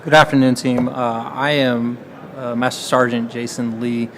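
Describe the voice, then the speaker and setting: A man from Texas speaking as a conference panelist, halting and restarting with frequent fillers. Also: medium pitch, little pitch movement, a little hiss or hum.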